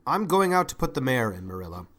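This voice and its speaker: A man's voice: medium pitch, big pitch swings, fast talker, fairly bright tone. Man as a reticent, mild older farmer. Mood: hasty, evasive. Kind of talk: audiobook character acting.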